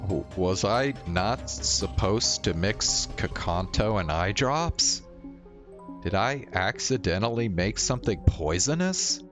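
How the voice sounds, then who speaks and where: A man with a low voice reads visual novel narration aloud as a game streamer. His delivery is slow, measured storytelling, with game music playing behind him. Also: animated.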